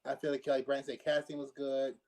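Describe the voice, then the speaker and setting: A man's voice: medium pitch, monotone, fast, darkish tone. A man giving his opinion in a casual panel discussion, diplomatic and a little hesitant.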